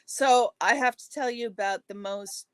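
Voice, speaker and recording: A woman with a fairly high voice telling stories earnestly in a casual conversation. The sound is close and dry, with no room echo. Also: moderate pace, clear, resonant tone. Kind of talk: casual chat.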